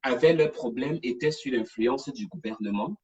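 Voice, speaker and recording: A woman hosting a casual live stream, talking in an animated, digressive, chatty way. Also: very deep voice, moderate pace, highs cut off. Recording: distant from the mic.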